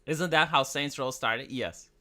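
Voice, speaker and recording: A man's voice: mid-range voice, measured pace, bright, forceful tone. A man from Romania chatting casually on a livestream, rambling and cursing with frequent stumbles and restarts. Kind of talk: casual streaming chat.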